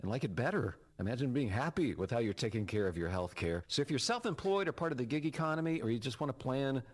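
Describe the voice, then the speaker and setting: A man reads a radio advertisement as if he were chatting with a friend. His pitch rises and falls a lot, and his pitch sits around the middle of the male range. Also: fast talker. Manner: relaxed, persuasive, casual. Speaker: ad announcer. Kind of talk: conversational radio ad read.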